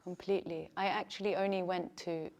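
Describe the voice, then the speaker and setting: A woman's voice: on the low side, fairly even intonation, fairly quick, gravelly, highs cut off. Chatty woman teaching yoga. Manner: chatty, warm-hearted, laughs often. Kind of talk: teaching a class.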